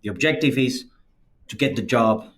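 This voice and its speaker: Peruvian-accented man telling stories. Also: normal speed. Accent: Peruvian Spanish. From Peru. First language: Spanish. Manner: lively anecdotal telling, acts out quoted friends in a higher voice. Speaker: podcast guest, company CEO. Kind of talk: conversational storytelling interview.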